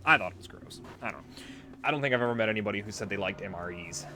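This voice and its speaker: A man's voice: fairly low pitch, animated, rapid-fire, pushed and bright, a little hiss or hum. A man giving casual let's play commentary on a video game, wry and freely swearing. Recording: voice over game music. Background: game music.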